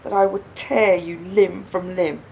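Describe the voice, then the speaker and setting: A woman's voice: unhurried, creaky, lo-fi sound. An actress in a radio play playing a bereaved, wounded woman who questions pointedly with controlled bitterness. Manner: probing, pointed, echoing words back. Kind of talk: naturalistic radio play dialogue. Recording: steady background noise, low rumble.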